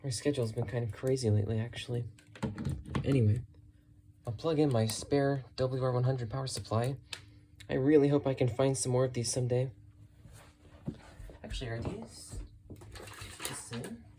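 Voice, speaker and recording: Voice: mid-range voice, moderate pace, long pauses, background noise. A person narrating casually while working hands-on, musing and thinking aloud. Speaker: radio collector presenting a thrift find.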